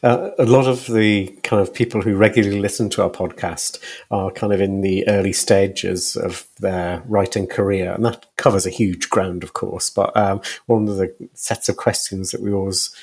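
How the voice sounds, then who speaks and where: A man's voice: low voice, steady tone, moderate pace, hardly any pauses, a little mellow, breathy edge. A man hosting a podcast interview, asking long, discursive questions in a conversational, hedging way. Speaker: podcast host.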